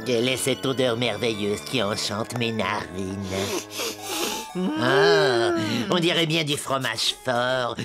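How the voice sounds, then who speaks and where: An actor playing a sly, greedy goblin in a children's cartoon, gloating and whining theatrically. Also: male voice, medium pitch, big pitch swings, slow. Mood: greedy delight. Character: sly, greedy male goblin who loves rotten food. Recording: loud music behind.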